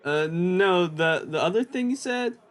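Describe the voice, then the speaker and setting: Male actor as cocksure young ex-soldier with schemes, radio comedy, high-pitched voice, boastful swagger. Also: animated, unhurried, clear, resonant tone. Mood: nervous. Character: cocky, scheming young male war veteran. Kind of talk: old-time radio sketch comedy acting.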